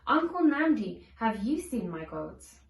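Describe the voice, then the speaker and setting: Female picture-book reader. Lively, swooping delivery of dialogue, recorded in an echoey room a little off the mic. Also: a little high, measured pace, creaky, distant mic, background noise. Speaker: storybook reader. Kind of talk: children's read-along storytelling with acted dialogue.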